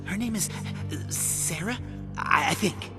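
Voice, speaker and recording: An actor playing a cowardly, evasive crooked bookkeeper in a video game, nervous and hesitant. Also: male voice, high voice, animated, measured pace. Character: cowardly, evasive male crooked accountant under interrogation. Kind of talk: scripted game dialogue. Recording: music behind.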